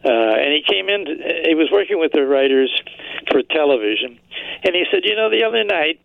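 A man's voice: fairly high pitch, big pitch swings, brisk, creaky, no echo, phone-quality sound. An older man telling anecdotes as a guest historian in a radio interview.

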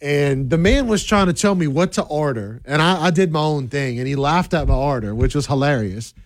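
A man from southern Louisiana hosting a casual radio talk show with a softer voice and a halting, conversational way of talking, close on a studio mic. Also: a little high, lively intonation, no echo. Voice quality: softer, less projecting voice. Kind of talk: casual radio chat with listener messages. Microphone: close studio microphone.

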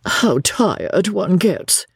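Woman playing a fussy older spinster, high and breathy. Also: animated, drawn-out words, dry recording, clean studio sound, full character voice. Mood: weary. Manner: fretful, hushing, martyred politeness. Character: prim, fussy, self-sacrificing older English spinster chaperone. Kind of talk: audiobook character voice.

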